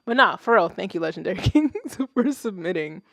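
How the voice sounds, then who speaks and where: A woman's voice: mid-range voice, brisk, creaky, no room sound, studio quality. Casual profane woman streamer with a Haitian accent. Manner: profane, rambling, animated, laughs often. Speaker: streamer reviewing submitted music. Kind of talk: casual livestream chat.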